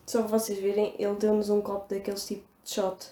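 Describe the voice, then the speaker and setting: A woman's voice: medium pitch, monotone, fast talker, mellow. A woman in her twenties with a European Portuguese accent, co-hosting a casual chat with playful banter. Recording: echoey room.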